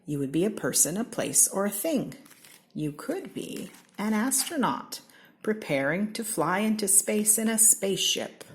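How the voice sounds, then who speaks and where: A woman from Seattle with an American accent, reading a children's picture book aloud in a slow, expressive way. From Seattle, Washington. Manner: slow, expressive read-aloud with lively pitch swings. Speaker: storybook reader.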